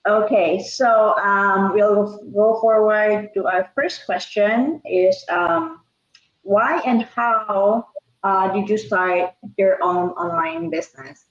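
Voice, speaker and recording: Hesitant woman host in her 50s; slow, roomy lo-fi call audio. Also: mid-range voice. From Philippines. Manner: hesitant, many pauses and fillers. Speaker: interview host. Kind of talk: casual online interview. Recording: echoey, dull-sounding room.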